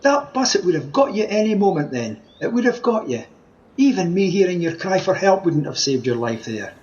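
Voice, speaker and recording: A man's voice: sits high, sing-song, normal speed, darkish tone. A man with a Scottish accent playing an insistent, cajoling wish granter disguised as a scruffy tramp in an amateur radio play, theatrical in manner. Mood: mysterious, insistent. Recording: dull remote home recording.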